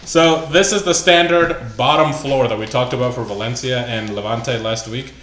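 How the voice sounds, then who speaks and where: A man's voice: medium pitch, normal speed, fairly bright tone, roomy sound, slight background noise. A man hosting a casual sports podcast, reading news items aloud with loose asides. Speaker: podcast host.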